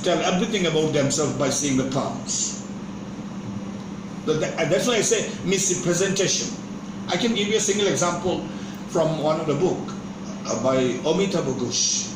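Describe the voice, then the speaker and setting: A man gives a slow, measured lecture, repeating himself and restarting phrases as he goes. His voice sits a little high and comes from across the room, thin and distant. An air conditioner hums under an echoey classroom sound. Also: noisy background. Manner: measured, repetitive, stops and restarts. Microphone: far room microphone.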